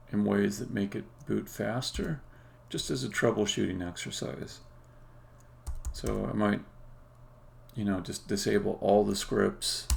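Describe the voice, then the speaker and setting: A man thinking aloud on a live-coding stream, muttering to himself in a halting way and often trailing off. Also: lowish voice, creaky. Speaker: indie game developer streaming. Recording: faint background noise, keyboard clicks. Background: computer keyboard typing and clicking.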